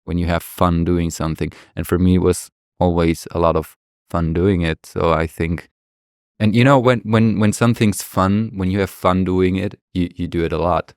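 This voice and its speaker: A man's voice: deep voice, fairly even intonation, moderate pace, smooth, no room sound, clean studio sound. A man from Germany, a native German speaker, talking casually and earnestly as a podcast guest.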